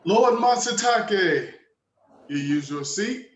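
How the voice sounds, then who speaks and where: A man's voice: sits high, lively intonation, takes it slowly. Man playing a roomy-sounding, flamboyant barkeep. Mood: showy, teasing. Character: flamboyant, eccentric male barkeep in feudal Japan. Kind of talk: amateur dramatic script reading.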